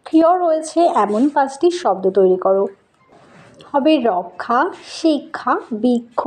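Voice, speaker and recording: A woman's voice: high-pitched, mellow. A woman teacher giving a school lesson, reading out questions and answers and addressing pupils directly in an instructional way.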